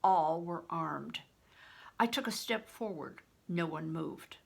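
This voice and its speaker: An older woman, a grandmother, reading a storybook aloud in a deliberate, phrase-by-phrase way. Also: low-pitched, gravelly, husky. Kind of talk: storybook narration with plain spoken asides.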